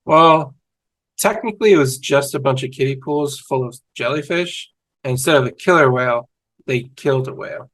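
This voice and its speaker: A man's voice: medium pitch, normal speed, fairly bright tone, close to an everyday voice. A man playing a cheerful, dim-witted young man in a scripted comedy read over a video call. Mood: earnest, oblivious. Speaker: fan table-read actor.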